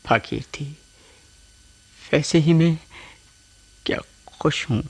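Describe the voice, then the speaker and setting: An actor in a radio play playing an anguished, defeated husband with a husky, breathy voice and slow, broken phrases. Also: male voice, on the high side, heavily acted. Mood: anguished, pleading.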